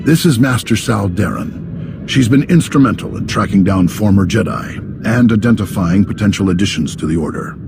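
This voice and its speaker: A man voicing a grave, authoritative Jedi master in a video game, speaking in a measured, formal way with a gravelly voice. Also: fairly low pitch, animated, music in the background. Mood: composed, formal. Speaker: Jedi master leading a meeting. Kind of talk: video game dramatic acting.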